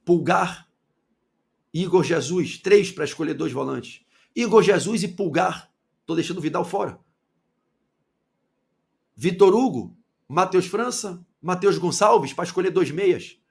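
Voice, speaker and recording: A man from Salvador with a Bahian accent giving an opinionated football commentary monologue, firing rhetorical questions and swinging between loud and low. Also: gravelly. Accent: Bahian Portuguese. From Salvador, Bahia, Brazil.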